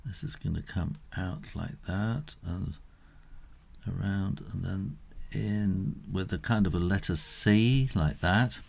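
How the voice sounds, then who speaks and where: A middle-aged man giving a casual step-by-step drawing tutorial, unhurried and thinking aloud as he works. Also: sits low, gravelly, a little mellow.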